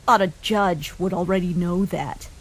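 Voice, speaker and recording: A woman's voice: lowish voice, normal speed, lightly acted, low-quality recording. A woman voicing a defiant, ruthless young human fighter in a comic dub, scornful and menacing. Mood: cold, taunting. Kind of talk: fan comic dub acting. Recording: loud music behind the voice.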